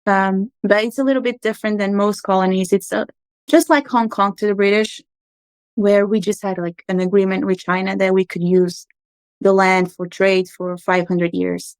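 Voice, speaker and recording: Smooth-voiced woman telling her story. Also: mid-range voice, steady tone, normal speed, no room sound. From Portugal, raised in Angola and Macau. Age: 30s. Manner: reflective, earnest, with frequent ums. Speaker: podcast guest. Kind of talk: personal storytelling interview.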